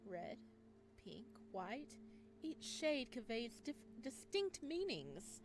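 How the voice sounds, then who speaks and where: A woman voicing a calm soft-spoken florist. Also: fairly high pitch, slow, background noise. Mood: calm courteous.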